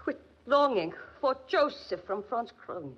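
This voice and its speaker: An actress playing a frightened, pleading woman, melodramatic and anguished, with a breathy, husky voice in 1940s radio style. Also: on the high side, lively intonation, a little slow. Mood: alarmed. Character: frightened, pleading woman menaced by mad suitor. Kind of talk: 1940s radio drama acting. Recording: muffled 1940s radio recording.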